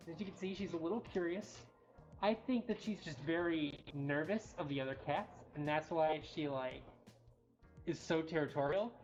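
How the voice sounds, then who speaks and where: A woman's voice: fairly low pitch, darkish tone. A woman chatting casually as co-host of a live showcase stream. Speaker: cat cafe livestream co-host. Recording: dull lo-fi livestream audio. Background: faint music.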